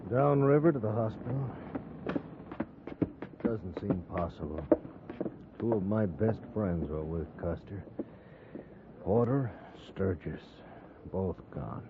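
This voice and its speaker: An actor playing a weary, grieving army captain with halting speech in a 1950s radio drama. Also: male voice, sits low, expressive, full character voice. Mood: grieving, subdued. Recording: muffled, noisy 1950s radio recording.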